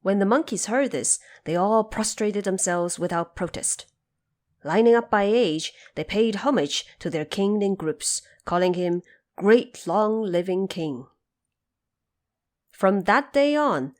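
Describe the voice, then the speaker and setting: A woman storyteller giving a dramatic, expressive scripted narration of a tale. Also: mid-range voice, takes it slowly. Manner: expressive, theatrical.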